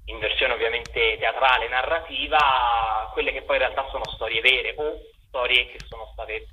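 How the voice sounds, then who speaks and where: A man's voice: mid-range voice, steady tone, normal speed, narrow, tinny audio. A young man, a theater author and director, giving articulate, discursive interview answers in long winding sentences. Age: young adult.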